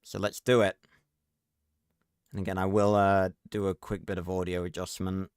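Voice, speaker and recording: A man's voice: sits low, level tone, moderate pace, smooth, dry recording. Man rambling casually, clean dry voice. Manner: rambling, sweary. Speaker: reaction streamer. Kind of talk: casual livestream reaction commentary.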